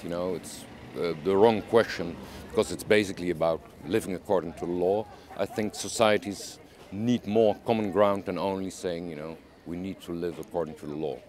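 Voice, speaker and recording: Low-voiced man, thoughtful interview answers. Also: a little mellow. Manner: thoughtful, deliberate with fillers.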